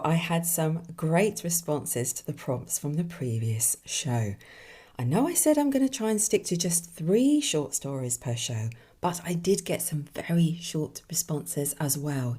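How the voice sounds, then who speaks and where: A woman hosting a writing podcast, moving between casual musing and expressive story reading. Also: low voice, slightly rough. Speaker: podcast host and author.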